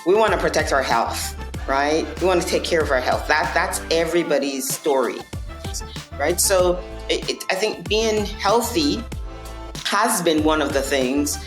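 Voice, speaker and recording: Woman from barbados, really deep low voice, earnest, keeps saying right?, echoey room with music under it. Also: unhurried. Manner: earnest, repeats "right?" and restarts often. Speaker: non-alcoholic bar owner. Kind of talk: conversational interview answers.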